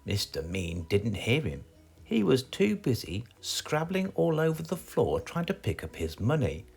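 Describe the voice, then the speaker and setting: A man's voice: medium pitch, wildly swooping pitch, a little slow, vocal fry, a little mellow, breathy edge, faint music behind. A man with a British accent reading a children's story aloud as a storyteller.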